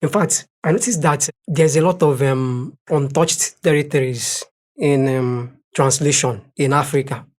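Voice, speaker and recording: A man's voice: on the high side, a little slow, a little mellow. A young Nigerian man, a native Igbo speaker with a Nigerian accent, answering interview questions earnestly and often repeating words as he thinks. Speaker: guest translator.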